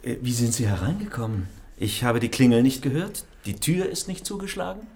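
An actor playing a polite, composed lawyer in a radio play, speaking formally and with restraint. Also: male voice, medium pitch, animated, a little fast, darkish tone, a little hiss or hum, lightly acted. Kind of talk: radio drama acting. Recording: studio radio play with faint music.

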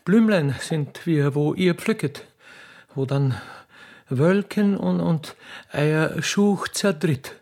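A male actor plays a mature Viennese widow of a butcher, rich, blunt and coquettish. The voice sits a little high for a man, with folksy, halting turns and an insistent wooing manner, in theatrical comic radio-play style. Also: darkish tone. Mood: pleading.

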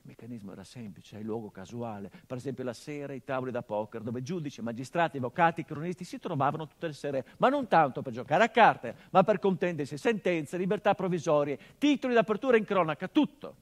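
An actor playing a middle-aged son who mourns his murdered father, in a theatrical monologue that swings from hushed murmurs to impassioned outbursts, sardonic and biting. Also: on the high side, lively intonation, fast, hardly any pauses, natural voice. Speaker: stage actor.

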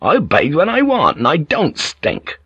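A man voicing a stubborn, hot-tempered foreign sea pirate in an audiobook, blunt and forceful in manner. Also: medium pitch, big pitch swings, normal speed, slightly rough, dry recording, muffled recording, pitched above the performer's own voice, exaggerated voice. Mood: angry, defiant.

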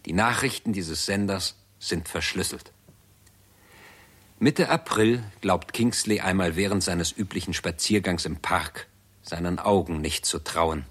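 A man giving sober, measured scripted narration for a 1960s German radio drama. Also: deep voice, a bit bright, breathy edge.

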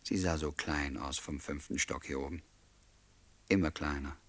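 A kindly lodger in a German radio play. His voice is very low. He speaks in a wry, reflective way with an understated touch of wistfulness. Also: moderate pace, fairly bright tone. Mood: wistful, fond. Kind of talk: German radio play acting and first-person narration.

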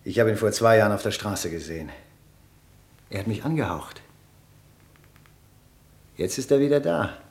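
An actor playing a defiant, half-mad condemned prisoner in a German radio play, volatile between hushed words and outbursts. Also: male voice, fairly low pitch, lively intonation, moderate pace, long pauses, echoey room. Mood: hushed, haunted.

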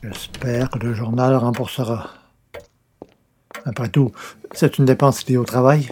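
An actor playing a grumbling, playful newspaper columnist with theatrical, hesitant asides, in the style of 1940s radio comedy. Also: male voice, medium pitch, steady tone, measured pace, background noise, natural voice. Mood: flustered.

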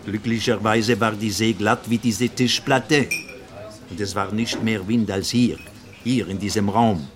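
A man's voice: fairly low pitch, fairly even intonation, a little slow, a crowd in the background, close to an everyday voice. An actor playing an older French naval officer in a radio drama, with a French accent, searching for words and slipping into his native French. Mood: reminiscing, halting. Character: elderly French naval officer, talkative, worldly, halting memory.

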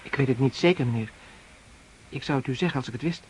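An actor in a Dutch radio play playing a meek, evasive soldier answering a superior. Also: male voice, mid-range voice, fast, slight background noise, lightly acted, poor audio. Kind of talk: Dutch radio drama acting.

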